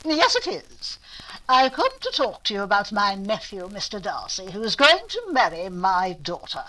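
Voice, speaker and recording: Haughty older noblewoman, bossy and pompous, swoopy bright voice, old radio play style. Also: medium pitch, huge pitch swings, normal speed, full character voice, low-quality recording. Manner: pompous, commanding, clipped formal speech. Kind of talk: recreated 1940s radio drama acting.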